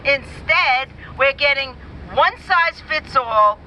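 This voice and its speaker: A woman's voice: sits high, expressive, slow pace, bright, forceful tone, a little hiss or hum, poor audio. A woman speaking at an outdoor protest rally, reading a prepared statement for her community coalition in a firm, declarative way. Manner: firm, declarative, builds lists to a rallying call.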